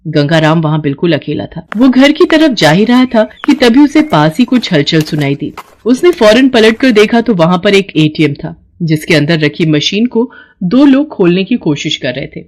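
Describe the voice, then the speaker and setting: A woman narrating a scripted children's story in an expressive storytelling manner. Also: lowish voice, normal speed, hardly any pauses, a little hiss or hum.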